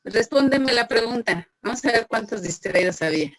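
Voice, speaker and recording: A woman's voice: a little high, lively intonation, normal speed, fairly bright tone, no echo. A woman teacher, lecturing an online class, patiently questioning students and praising them by name.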